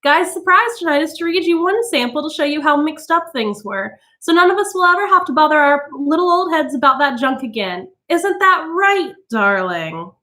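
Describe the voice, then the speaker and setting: Woman reader doing a high, sugary, quavering housewife who coaxes in a forced sing-song; storybook figure. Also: lively intonation, moderate pace, few pauses, bright, forceful tone. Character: brittle chirpy housewife, falsely cheerful.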